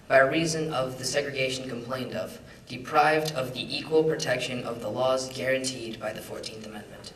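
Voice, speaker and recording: A man's voice: medium pitch, fairly bright tone. A young man, a student, formally reading out a legal document. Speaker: student reader. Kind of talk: formal reading of a court ruling. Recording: echoey hall, distant, faint room noise.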